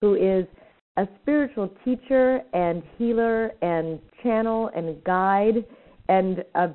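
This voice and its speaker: Woman talk show host, effusive, rambling, mid pitch, tinny phone-like audio. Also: slow pace. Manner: warm, effusive, slightly rambling. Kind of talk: radio interview. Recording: thin phone-like line.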